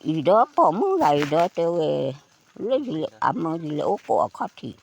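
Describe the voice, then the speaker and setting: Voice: mid-range voice, big pitch swings, moderate pace. A person telling a folk tale aloud in a conversational way. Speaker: folk storyteller.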